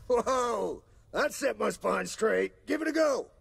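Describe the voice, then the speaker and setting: An actor playing a cocky, cranky middle-aged American hacker in a video game, with a folksy, sardonic drawl. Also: male voice, high voice, expressive, takes it slowly, creaky, a bit bright, a little hiss or hum, full character voice. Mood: gleeful. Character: cranky, cocky older male hacker turned junkyard sculptor.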